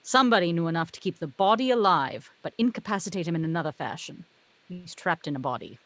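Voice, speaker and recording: A woman's voice: fairly low pitch, expressive, fast talker, a bit bright. A woman reading the part of a wry, knowing, articulate heavenly muse in a film script read-through. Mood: wry explaining.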